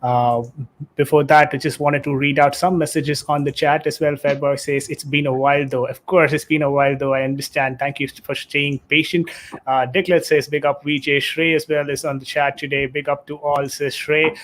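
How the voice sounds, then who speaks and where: A man's voice: on the high side, flat delivery, moderate pace, a bit bright. A man hosting a casual live football stream, reading out chat messages with many fillers. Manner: reads chat messages aloud, hesitant with fillers.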